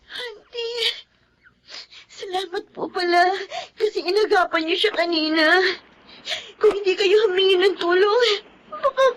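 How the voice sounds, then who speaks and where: An actress playing a distraught grown daughter in a TV melodrama, speaking through tears. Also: female voice, extremely high pitch, a little slow, a bit bright, a little hiss or hum. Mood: tearful, anxious. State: crying. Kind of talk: television melodrama acting.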